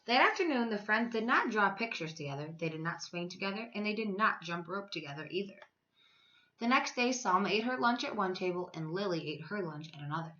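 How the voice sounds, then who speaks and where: A woman teacher reading a children's story aloud, with expressive, emphatic delivery and drawn-out words. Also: mid-range voice, dull audio.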